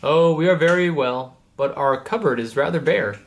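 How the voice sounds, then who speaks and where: A man's voice: fairly high pitch, normal speed, natural voice. Humble, thankful Roman father male reader: jovial storybook delivery, lightly raised voice, slight background noise. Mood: jovial, content. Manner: good-humoured storybook dialogue.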